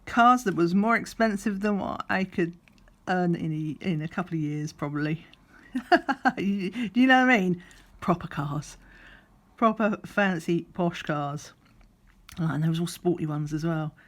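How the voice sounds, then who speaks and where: Slightly croaky lady rambling on while she crafts, relaxed, counts under her breath. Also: lowish voice, measured pace. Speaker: crafting vlogger. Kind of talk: relaxed chatty craft vlog. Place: at a craft table indoors.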